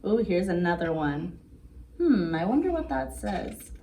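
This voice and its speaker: A woman's voice: lowish voice, big pitch swings, unhurried, vocal fry, dark tone. A woman hosting story time and crafts for young children, talking in a simple, sing-song way. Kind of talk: picture-book read-aloud and craft demonstration for young children. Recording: noisy, distant microphone.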